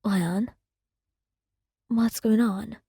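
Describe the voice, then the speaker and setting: A woman voicing a gentle male partner who comforts the listener in a soft, soothing whisper. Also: on the high side, steady tone, very slow, vocal fry, husky, dry recording, studio quality, heavily acted. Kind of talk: whispered ASMR roleplay.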